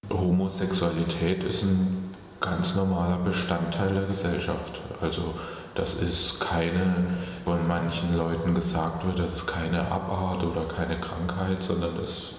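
A young man gives calm, matter-of-fact answers to a street interviewer. His voice sits very low. The sound is drenched in long, hall-like reverb with light noise. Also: measured pace. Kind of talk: unscripted vox pop answer.

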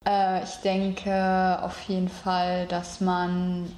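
Slow-talking shy woman. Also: lowish voice, completely flat delivery, a little mellow, echoey room, slight background noise, low-quality recording. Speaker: street interviewee. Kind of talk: unscripted vox pop answer.

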